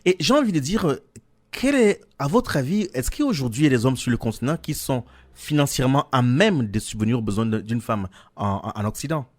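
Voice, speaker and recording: A man hosting a radio talk show, formal and measured, steering callers and guests with questions. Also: medium pitch, big pitch swings. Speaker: radio host.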